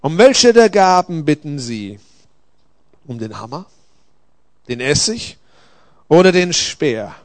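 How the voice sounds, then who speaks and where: A man voicing a stern but gentle angel who admonishes solemnly in a dramatic story reading. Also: fairly high pitch, sing-song, slow, long pauses, dry recording.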